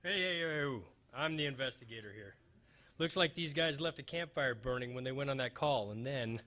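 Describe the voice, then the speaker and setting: Bright, forceful male radio-spoof detective, acted by a man, wisecracking and hapless, wry hard-boiled delivery. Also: mid-range voice, fairly quick, close to an everyday voice. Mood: confident, wry. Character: wisecracking, hapless male private fire investigator narrating a noir spoof. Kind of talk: old-time radio detective spoof, staged reading.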